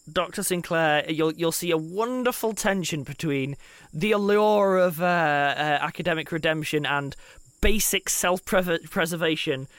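A man's voice: a little high, a bit bright, smooth, no echo. A man playing in a tabletop roleplaying game, bantering in character in a wordy, playful way. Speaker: player.

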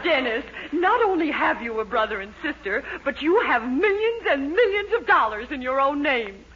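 Bossy, bright-voiced radio wife. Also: female voice, high voice, very animated, normal speed. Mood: insistent. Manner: insistent, commanding. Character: bossy, determined, exasperated wealthy wife. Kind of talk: 1940s radio sitcom acting. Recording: dull 1940s radio broadcast.

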